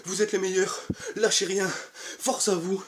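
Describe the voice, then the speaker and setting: A man's voice: high-pitched, unhurried, slight background noise. A young man coaching a home leg workout while doing it himself, counting reps and pushing viewers on between breaths. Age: young adult. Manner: energetic, encouraging, exercising while talking. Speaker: fitness youtuber. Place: bedroom at home. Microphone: camera mic from across the room. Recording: echoey room, voice from far off.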